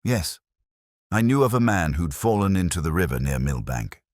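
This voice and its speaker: A man voicing a cornered impostor in a detective audiobook, confessing defensively in terse, halting phrases. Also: deep voice, very animated, dry recording, studio quality. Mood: defensive confession.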